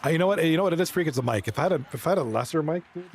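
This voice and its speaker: A man with a gravelly, croaky voice that is partly gone, calling hockey video game action live and chatting easily with his viewers. He sounds hoarse and relaxed, and there is a faint hiss of noise behind him. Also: on the high side, very fast. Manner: casual, chatty, talks to chat viewers. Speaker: esports league commentator. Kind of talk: live stream play-by-play and chat reading.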